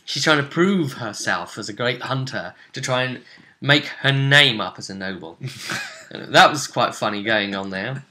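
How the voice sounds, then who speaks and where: A man hosting a tabletop roleplay session, recapping in casual, expressive chat. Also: medium pitch, measured pace. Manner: animated, expressive. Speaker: host and game master of a tabletop roleplay series.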